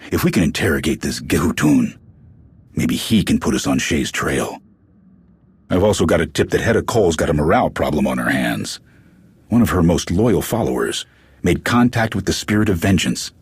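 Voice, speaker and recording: Very deep, gravelly stern commander. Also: male voice, lively intonation, normal speed, no room sound, exaggerated voice. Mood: commanding, businesslike. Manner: firm, blunt, authoritative. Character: stern gravel-voiced male military clan commander. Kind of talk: video game cutscene acting.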